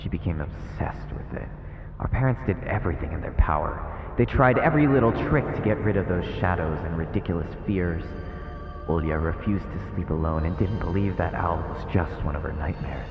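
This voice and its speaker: Very deep-voiced man narrating horror, echoey. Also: a little fast, vocal fry. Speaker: streamer. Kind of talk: dramatic read-aloud narration of a horror visual novel. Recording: echoey reverberant muffled sound with music. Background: game music.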